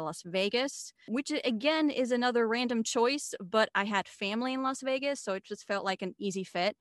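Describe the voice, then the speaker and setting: A woman's voice: mid-range voice, a bit bright, dry recording. Chatty Swiss-born woman, dry sound. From Switzerland. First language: not English. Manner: chatty, storytelling with fillers. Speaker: guest, video marketing coach. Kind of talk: casual interview chat.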